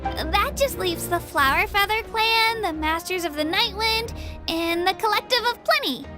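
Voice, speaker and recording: A woman's voice: very high voice, unhurried, over music. A woman playing a friendly, eager young girl guide in a video game, girlish and cheerfully explanatory. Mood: cheerful, explaining.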